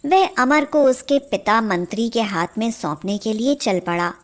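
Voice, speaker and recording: A woman narrating a scripted fairy tale as a storyteller, in a measured manner. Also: on the high side.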